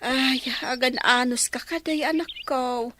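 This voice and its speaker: An actress playing a kind, poor village mother in a radio comedy drama, speaking gently and comfortingly. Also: sits high, fairly even intonation, a little slow, background noise. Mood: gentle.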